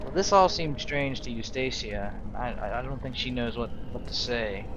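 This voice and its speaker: A man's voice: mid-range voice, normal speed. A man giving casual, half in-character game commentary, hesitant and a little wry. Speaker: gamer narrating a role-play playthrough. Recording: dull lo-fi mic, clear background noise.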